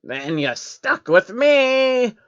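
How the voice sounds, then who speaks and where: A man's voice: extremely high pitch, big pitch swings, very slow, dry recording, highs cut off, pitched above the performer's own voice, exaggerated voice. A man voicing a small, laid-back insect-like changeling with a casual, cheeky manner in a storybook reading. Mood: nonchalant. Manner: casual, matter-of-fact. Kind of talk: storybook character voice in amateur fan fiction reading.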